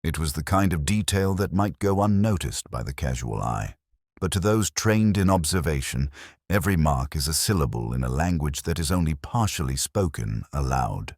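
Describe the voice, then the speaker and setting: A man reading scripted first-person detective fiction in a measured, contemplative, literary manner, as a narrator. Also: a bass voice, animated, a little mellow, no echo, clean studio sound.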